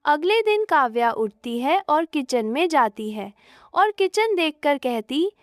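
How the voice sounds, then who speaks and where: A woman's voice: sits high, big pitch swings, takes it slowly, no echo, studio quality. A woman narrator reading a scripted story.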